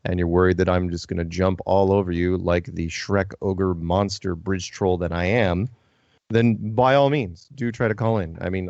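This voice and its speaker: A man's voice: low-pitched, vocal fry, darkish tone, no room sound. A man hosting a live call-in radio show, chatting casually with dry, self-deprecating humour. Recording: dull, lo-fi broadcast sound.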